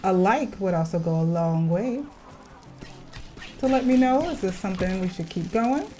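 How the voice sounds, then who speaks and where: A woman's voice: medium pitch, lively intonation, normal speed, dark tone. A woman casually talking through a video game as she plays, chatty and playful. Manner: chatty, playful, reads game text aloud. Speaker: gaming video host. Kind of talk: casual gameplay commentary. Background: faint game music.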